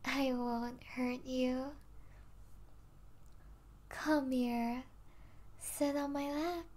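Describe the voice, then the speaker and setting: A high-voiced vampire princess, played by a woman, lingers very slowly over her words. She is regal and possessive, yet soft and doting, slipping into breathy asides as if in an intimate ASMR roleplay. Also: steady tone. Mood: possessive, coaxing. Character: possessive yet tender vampire princess, mistress of a human pet.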